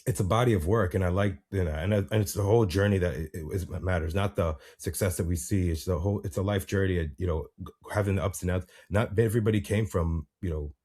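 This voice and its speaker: A man's voice: low-pitched, fast talker, a little mellow, slightly rough. An American man hosting a podcast interview, rambling casually with many fillers and restarts. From United States. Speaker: podcast host.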